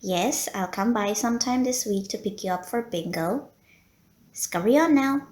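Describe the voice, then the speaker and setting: A woman's voice: mid-range voice, moderate pace, darkish tone, roomy sound, natural voice. A woman playing a polite, teasing female vampire who runs a blood bank, in an audio roleplay spoken to the listener. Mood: patient, placating. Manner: genteel, courteous, teasing. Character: polite, flirty female vampire running a blood bank, playful and long-suffering. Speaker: medical center receptionist.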